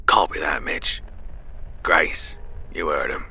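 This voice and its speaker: A man voicing a steady male diver team leader with a raspy, breathy voice. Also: very deep voice, slow, heavily acted.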